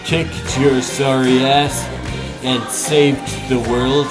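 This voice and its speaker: Man voicing slow-spoken, defiant young superhero. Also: mid-range voice, flat delivery. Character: defiant, idealistic young male superhero facing a villain. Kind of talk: dramatic comic dub acting. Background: dramatic music.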